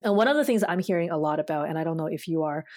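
A woman in her thirties from China, co-hosting a casual podcast, talking thoughtfully with frequent pauses and restarts. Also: deep voice, animated, darkish tone, dry recording, clean studio sound. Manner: thoughtful, many fillers and restarts. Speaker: podcast co-host.

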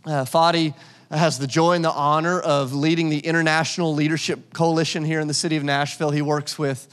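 A pastor speaks from the stage in a relaxed, conversational way, often restarting his phrases. His voice sits a little high for a man. Long reverb from the church hall trails behind each phrase. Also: a little fast, clean tone. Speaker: lead pastor. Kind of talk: sermon and guest introduction. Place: church sanctuary.

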